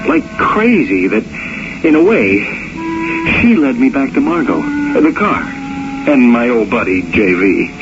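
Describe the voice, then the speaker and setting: An actor playing a hotheaded, lovesick young combat pilot in a 1970s radio drama, speaking informally and impatiently. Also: male voice, mid-range voice, very animated, measured pace. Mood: reminiscing. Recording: muffled, noisy 1970s radio recording.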